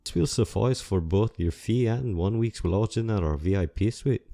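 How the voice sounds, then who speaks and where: Male actor as professional catfolk clerk for fantasy audiobook; lowish, mellow, courteous and formal. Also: moderate pace, creaky. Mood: polite, businesslike. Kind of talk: audiobook character dialogue. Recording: music under the voice.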